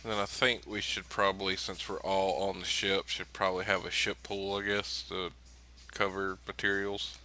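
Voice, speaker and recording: Deep, even-toned man with bright edge. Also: normal speed. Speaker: tabletop game player. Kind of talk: casual game discussion.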